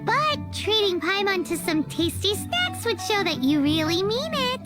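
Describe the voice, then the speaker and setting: A woman voicing a tiny, bossy, cheeky childlike fairy companion in a video game, with a squeaky voice and a perky manner. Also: sing-song, measured pace, over loud music, heavily acted. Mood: pleased, cheeky. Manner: talks about self in third person, perky. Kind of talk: video game character acting.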